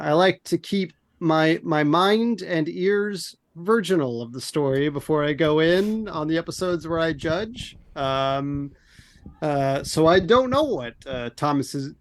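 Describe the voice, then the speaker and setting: A man co-hosting a podcast in casual chat, speaking with a hoarse, somewhat monotone voice and a rambling, self-mocking way of talking. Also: on the high side, measured pace. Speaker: podcast co-host.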